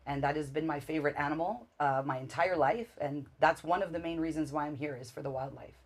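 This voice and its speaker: Husky low-voiced woman in her forties. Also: fast talker. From Canada. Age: 40s. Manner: warm, enthusiastic storytelling with many fillers. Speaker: guest. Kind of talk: casual interview answers.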